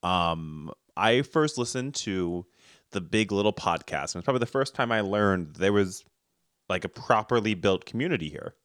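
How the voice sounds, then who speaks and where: A man co-hosting a casual podcast chat, laid-back and quick to laugh. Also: fairly low pitch, lively intonation, a bit bright, no echo, clean studio sound. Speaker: podcast co-host.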